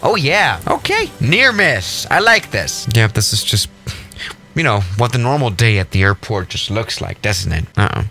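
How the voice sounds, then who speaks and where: A man's voice: mid-range voice, sing-song, moderate pace, creaky, pushed and bright, soft background music. A young adult man giving casual, jokey gameplay commentary with a sarcastic, mock-exasperated manner. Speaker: gaming video host.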